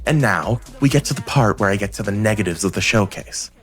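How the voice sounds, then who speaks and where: A man's voice: fairly low pitch, fairly quick. A man delivering scripted review narration as a video game reviewer, with a playful, opinionated manner. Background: music.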